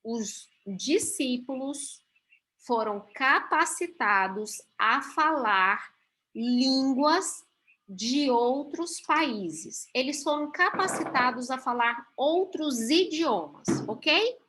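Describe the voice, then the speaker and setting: A woman's voice: sits high, lively intonation, extremely slow, bright, forceful tone, poor audio. A Brazilian woman teaching a Bible class over a video call, explaining step by step and often checking in with her listeners. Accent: Brazilian Portuguese.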